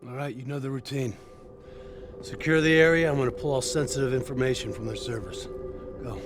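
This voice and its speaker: Actor as terse, hard-bitten squad leader. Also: male voice, mid-range voice, a little fast, music in the background, close to an everyday voice. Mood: brisk, commanding. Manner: terse, commanding. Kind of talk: video game cutscene acting.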